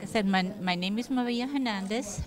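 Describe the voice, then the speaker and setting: A woman's voice: medium pitch, fairly quick. A woman in her sixties from Germany, new to a senior center, introducing herself in a friendly, earnest way. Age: 60s. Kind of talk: self-introduction. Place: senior center activity room. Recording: crowd chatter behind.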